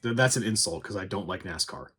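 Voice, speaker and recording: A man's voice: sits low, animated, quick pace, pushed and bright. A man giving a casual scripted review commentary, with sarcastic dry humour and some swearing. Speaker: video game movie reviewer. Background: faint music.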